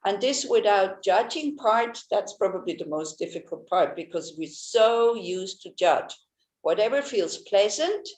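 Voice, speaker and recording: A woman whose first language is Swiss German gives a Buddhist teaching in a gentle manner, often restarting and searching for words. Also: medium pitch, expressive, measured pace. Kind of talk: Dharma teaching talk. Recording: echoey room.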